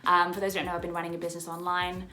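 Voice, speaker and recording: A woman's voice: on the low side, monotone, rapid-fire, pushed and bright, soft background music. Bubbly Australian woman vlogging. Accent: Australian, picking up some English. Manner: bubbly, repeats words for emphasis, laughs often. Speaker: vlogger, musical theatre performer. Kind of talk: casual chatty vlog to camera. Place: her apartment, indoors.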